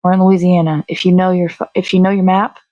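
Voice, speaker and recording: A woman's voice: fairly low pitch, flat delivery, fast, warm tone, no room sound, lo-fi sound. A woman hosting a morning show in casual chat, talking in a blunt, sweary, rambling way.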